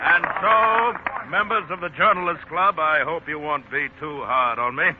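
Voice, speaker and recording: Genial higher-pitched male candidate played by a man, radio. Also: unhurried, close to an everyday voice. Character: genial newspaperman turned candidate, addressing a press club. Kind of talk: 1940s radio acting, after-dinner speech. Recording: tinny, noisy 1948 radio broadcast.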